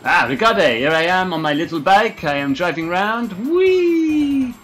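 A man doing comic gameplay commentary in a fake French accent, excitable and hammy, laughing at his own jokes. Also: high-pitched, normal speed, faint music behind. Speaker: let's play gamer.

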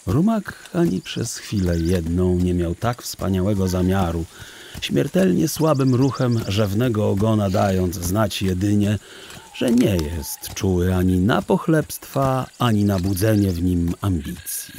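Male narrator, low voice, unhurried, measured literary storytelling, children's audio drama. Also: animated, vocal fry, warm tone, slight background noise.

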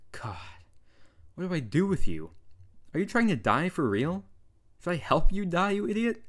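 A man playing a young tsundere wizard who is bossy, exasperated and caring, in an intimate ASMR roleplay. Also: medium pitch. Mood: exasperated, scolding. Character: tsundere young male wizard, scolding but tender best friend. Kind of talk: intimate ASMR audio roleplay addressed to the listener.

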